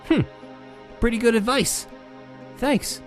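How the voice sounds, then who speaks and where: A man's voice: extremely high pitch, slow, soft background music. A man playing a brainy, anxious young mutant turtle in an audio comic drama, casual and thoughtful. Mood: worried.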